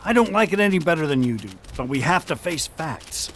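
Guy doing a careful sidekick deputy, matter-of-fact, pitch moves around a lot. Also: very animated, fairly quick, a bit bright, slight background noise. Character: practical male deputy partner, cautious and level-headed. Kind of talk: amateur radio drama acting.

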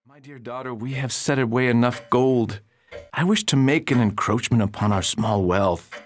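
A man's voice: medium pitch, normal speed, slight background noise, natural voice. An actor playing an obsessed, proud older Victorian alchemist and embittered father in a declamatory radio drama style. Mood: brooding. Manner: grandiose, declamatory old-fashioned speech. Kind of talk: theatrical radio drama acting.

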